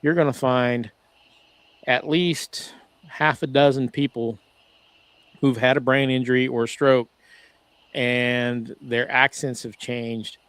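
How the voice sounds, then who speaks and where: A man co-hosting a casual podcast discussion over a video call, talking in a rambling, halting way with lots of "uh" restarts. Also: mid-range voice, fairly even intonation, fairly bright tone, clean tone, no echo. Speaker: podcast co-host.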